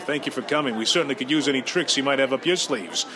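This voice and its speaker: A man voicing a harried police captain in a radio drama, who sounds gruff and tired. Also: medium pitch, fast, lightly acted. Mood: weary. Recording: radio drama with background hiss.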